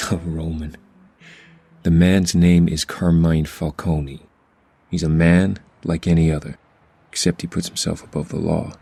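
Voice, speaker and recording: An actor playing a cocky, hot-headed district attorney in a comic-book audio drama, husky, sardonic and impatient. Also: male voice, low-pitched, level tone, a little slow, dark tone, no room sound, soft background music, exaggerated voice. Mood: indignant.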